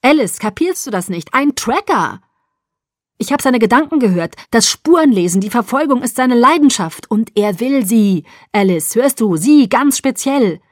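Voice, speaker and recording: A woman's voice: medium pitch, sing-song, normal speed, breathy edge, dry recording, clean studio sound. A woman voicing an intense young male vampire who speaks in urgent, clipped commands in an audiobook.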